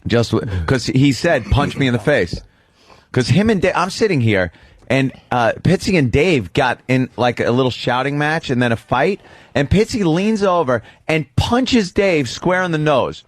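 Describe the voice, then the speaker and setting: A man's voice: mid-range voice, expressive, moderate pace, dry recording. A man co-hosting a radio show, telling stories in casual, comic banter with salty language.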